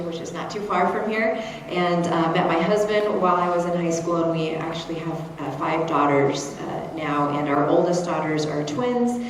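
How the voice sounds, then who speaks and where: Woman lawyer, lowish voice, measured, filler-heavy, long hall reverb. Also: slight background noise. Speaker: attorney, panelist. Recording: roomy hall sound from far off.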